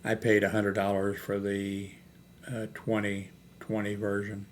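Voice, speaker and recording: Older male vlogger, low voice, halting off-the-cuff product chat, some background noise on the recording. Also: completely flat delivery. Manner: hesitant, halting, thinking aloud. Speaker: YouTube host. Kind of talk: rambling, unscripted screen-browsing product chat.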